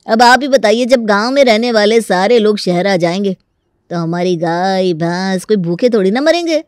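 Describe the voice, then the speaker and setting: A man's voice: high voice, expressive, normal speed, clean tone, no room sound. A crafty young village man played by an actor in a comic cartoon story. He is folksy and mock-polite, yet stubborn and always ready to argue. The sound is dry and close. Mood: folksy, mock-innocent. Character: stubborn, crafty young rural male tenant with cattle. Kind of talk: Hindi comic story dramatization.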